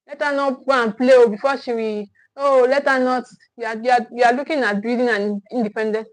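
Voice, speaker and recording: Host: Nigerian woman teaching parents, emphatic and repetitive, higher voice, close and dry sound. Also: steady tone, a little fast, no echo, low-quality recording. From Nigeria, Edo State. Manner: earnest and emphatic, repeats points, asks "okay?". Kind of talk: live-streamed parenting class.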